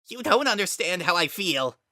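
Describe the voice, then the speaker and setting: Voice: mid-range voice, sing-song, moderate pace, bright, forceful tone, no echo, clean studio sound, voice pushed up. A performer voicing a bitter, mocking, anguished lost child spirit in a strained, breaking voice, as in a dramatic storybook reading. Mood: wounded, defiant. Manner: taunting and wounded.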